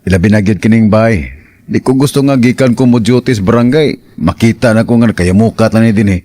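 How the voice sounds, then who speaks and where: Actor as gruff, permissive husband, lowish voice, Central Visayan accent, radio drama. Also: male voice, fairly quick, warm tone, close to an everyday voice. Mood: dismissive, admonishing. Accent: Central Visayan Filipino. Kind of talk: Cebuano radio drama acting.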